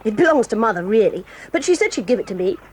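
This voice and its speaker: An actress voicing a proud, quick-tempered tomboy girl in an audio drama, talking in a brisk, bossy and eager way. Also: medium pitch, sing-song, husky. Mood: excited, lively. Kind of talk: 1980s audio drama acting.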